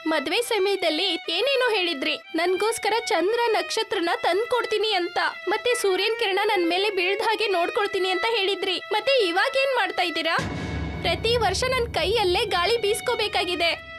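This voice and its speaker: A woman voicing a reproachful young wife who nags her husband, in an animated moral story. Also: extremely high pitch, normal speed, over music, voice pushed up. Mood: nagging, aggrieved. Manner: complaining, reproachful. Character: young wife nagging her husband about broken fans.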